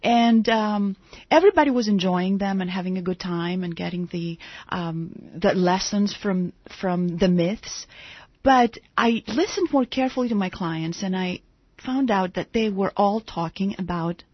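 Greek-born woman guest, breathy and dry, dull radio sound, halting storytelling. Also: medium pitch, no room sound. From Greece. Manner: storytelling, hesitant with fillers and restarts. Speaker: guest author and therapist. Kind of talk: radio interview. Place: radio studio. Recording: dull lo-fi radio studio audio.

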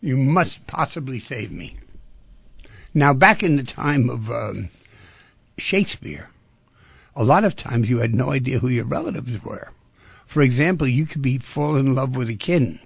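A man hosting a talk radio show, talking in an animated way and asking rhetorical questions. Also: medium pitch, a little slow, gravelly, slightly rough, no echo.

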